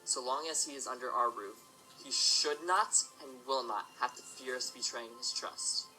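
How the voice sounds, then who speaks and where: Man playing a firm, forceful stallion father. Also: medium pitch, moderate pace, soft background music, low-quality recording. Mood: calm, resolute. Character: protective stallion father, firm, stern, principled. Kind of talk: audio drama acting.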